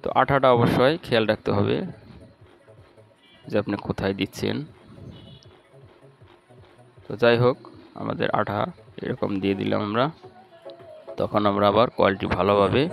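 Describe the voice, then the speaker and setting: A man's voice: fairly low pitch, steady tone, brisk, creaky, long pauses, soft background music, poor audio. A man with a Bangladeshi accent narrating a step-by-step repair tutorial in a plain, instructional way. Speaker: electronics repair channel host.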